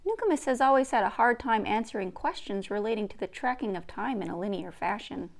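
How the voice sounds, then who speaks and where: A woman's voice: medium pitch, expressive, fairly quick. A woman reading a children's book aloud as its author and narrator. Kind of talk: scripted story narration, read-aloud.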